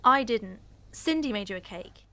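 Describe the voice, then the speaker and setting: A woman's voice: medium pitch, expressive, moderate pace, pushed and bright. An actress playing an awkward woman in a bittersweet comic audio play. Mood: flustered.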